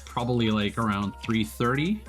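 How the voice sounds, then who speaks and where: A man giving casual live commentary as a video game streamer, thinking aloud in a halting way. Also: mid-range voice, expressive, a bit bright. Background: game music and vehicle sounds.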